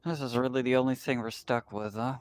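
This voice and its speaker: A man's voice: medium pitch, fairly even intonation, fairly quick. A man chatting casually and roleplaying as a player in a tabletop game. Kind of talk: casual chat and in-character roleplay.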